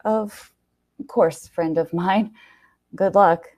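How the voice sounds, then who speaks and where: A woman's voice: fairly low pitch, fairly even intonation, drawn-out words, highs cut off. A woman voicing a jealous, guarded young man in a story, sardonic and clipped. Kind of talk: storybook character dialogue.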